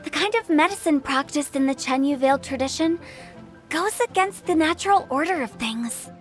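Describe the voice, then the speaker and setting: A woman's voice: high voice, lively intonation, moderate pace, soft background music. An actress voicing a shrewd, mischievous young woman who runs a funeral parlor, telling things in a conspiratorial tone. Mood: scheming. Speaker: funeral director.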